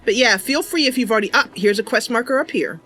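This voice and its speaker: A woman's voice: a little high, lively intonation, quick pace, a bit bright, slightly rough. A woman hosting a roleplay game livestream, chatty and welcoming, switching between casual commentary and narration. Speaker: streamer, roleplay game host.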